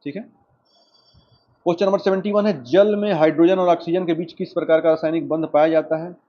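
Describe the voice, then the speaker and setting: A man's voice: on the high side, fairly even intonation, a little fast. A man with an Indian accent teaching an exam lesson, explaining questions and answers directly to students. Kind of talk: lesson, working through quiz questions and explanations. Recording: dull lo-fi microphone sound.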